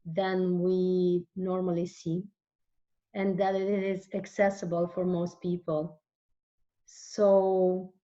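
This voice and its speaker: Romanian-speaking woman talking in english, middle pitch, muffled lo-fi sound, restarts a lot. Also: a little mellow. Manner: slow, searching, with frequent restarts. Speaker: guest author. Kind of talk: interview answers.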